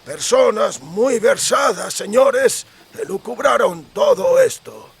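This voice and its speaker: A man voicing a doom-laden merchant of amulets in an audiobook, declaiming ominous prophecies in slow, drawn-out phrases. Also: high-pitched, wildly swooping pitch, vocal fry, breathy edge, voice pushed up, heavily acted. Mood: solemn. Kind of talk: audiobook character acting.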